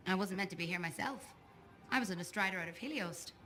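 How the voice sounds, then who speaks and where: An actress playing a friendly, down-to-earth mechanic at a sci-fi fort in a video game, warm and familiar in conversation. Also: female voice, mid-range voice, fast talker, close to an everyday voice. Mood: friendly, candid.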